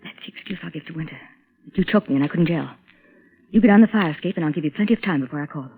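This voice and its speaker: An American actress playing a seductive, scheming blackmail accomplice in a 1940s radio drama, with a coaxing, intimate manner. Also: female voice, deep voice, racing, a little hiss or hum. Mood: intimate, sly. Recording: thin, phone-like vintage radio recording.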